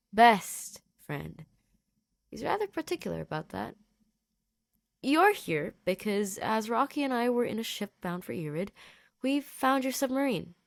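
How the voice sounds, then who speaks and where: A woman voicing a gentle, earnest male scientist in audio fiction, soothing and slightly rambling as he reassures. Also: medium pitch, very animated, unhurried, creaky, no echo, studio quality, pitched above the performer's own voice. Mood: gentle, explaining. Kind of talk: character dialogue in audio fiction.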